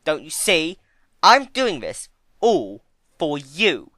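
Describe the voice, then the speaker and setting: Guy doing a grand menacing chaos spirit for a story, forceful and loud, stretches words out, big swooping pitch. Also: huge pitch swings, takes it slowly, dry recording, studio quality, full character voice. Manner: theatrical, emphatic. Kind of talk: dramatic fan-fiction reading.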